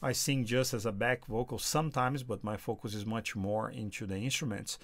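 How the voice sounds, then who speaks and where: A man whose first language is Portuguese, reviewing music software in a casual, halting way, often repeating words. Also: medium pitch, steady tone, no echo. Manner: halting, repeats words, sings test notes loudly.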